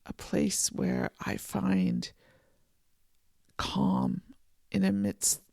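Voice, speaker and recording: A podcast host giving a soft, contemplative solo monologue from a home office, voice a little froggy this morning. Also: mid-range voice, sing-song, vocal fry, husky, no echo, clean studio sound. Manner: soft, slow and contemplative, with gentle restarts. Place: home office and art studio.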